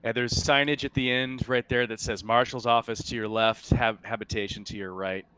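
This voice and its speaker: A man improvising scene descriptions as game master of a tabletop game, in a halting, descriptive way. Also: mid-range voice, fairly even intonation, bright, forceful tone, clean tone, rough recording. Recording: faint background noise.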